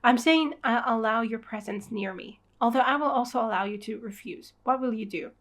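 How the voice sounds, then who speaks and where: A woman's voice: fairly high pitch, a little fast, fairly bright tone. A woman reading out the lines of a haughty, brusque young manga prince in an imperious, curt manner. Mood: commanding.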